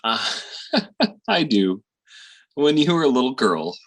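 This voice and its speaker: A man playing a gentle, devoted middle-aged Southern father in stage-play dialogue, speaking in a fond, coaxing way. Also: mid-range voice, sing-song, slow pace, full character voice. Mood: worried, soothing.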